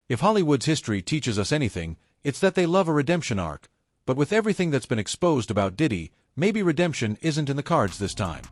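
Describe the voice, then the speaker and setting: A man reading scripted celebrity gossip narration in a dramatic, insinuating storytelling manner. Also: medium pitch.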